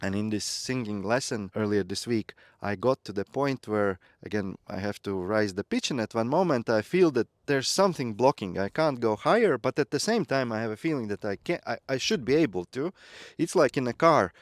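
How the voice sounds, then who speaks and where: A man's voice: mid-range voice, expressive, brisk, no echo, studio quality. Earnest man. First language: Latvian. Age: 30s. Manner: reflective, earnest, with light laughs. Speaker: growth mentor. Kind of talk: personal storytelling talk.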